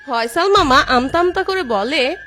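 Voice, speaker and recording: A woman's voice: high voice, animated, normal speed, pushed and bright, slight background noise. A woman reading scripted narration for a cartoon story. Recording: music behind the voice.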